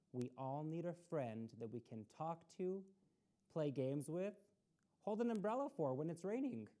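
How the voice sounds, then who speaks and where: A woman with a low, soft-toned voice hosts a read-aloud for children. Her intonation moves up and down in a very lively way. She uses simple, instructive phrasing and puts questions to her young listeners. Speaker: story time host reading a picture book to children. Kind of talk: read-aloud storytime with explanatory asides.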